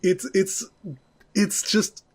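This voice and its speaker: A man's voice: high-pitched, steady tone, drawn-out words, breathy edge. A man chatting casually as a panelist on a podcast. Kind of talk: casual panel chat about films.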